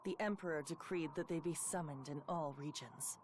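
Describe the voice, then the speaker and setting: An actress playing a serious, knowledgeable young warrior in a video game, speaking earnestly. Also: female voice, low-pitched, moderate pace, background noise, lightly acted. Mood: grave.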